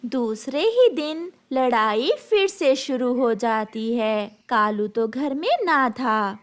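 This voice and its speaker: Female narrator for children's tales: high pitch, unhurried, very lively intonation. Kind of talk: scripted children's story narration.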